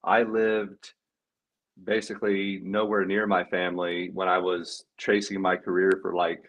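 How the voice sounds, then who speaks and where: A man around forty speaks on a video call as a panel guest, his voice sitting on the low side. He reflects as a coach would, unhurried and full of casual fillers. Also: flat delivery, a bit bright. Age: 40s.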